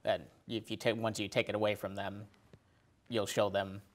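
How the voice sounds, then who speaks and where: A man's voice: on the low side, flat delivery, moderate pace. A man in his thirties chatting casually about films as a guest, tentative and agreeable. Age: 30s. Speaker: podcast guest.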